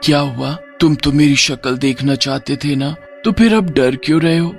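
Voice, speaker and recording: Man voicing a high-pitched menacing witch bride. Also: normal speed, creaky, soft background music. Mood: menacing, possessive. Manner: coy, then possessive and taunting. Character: menacing seductive veiled bride witch, female ghoul.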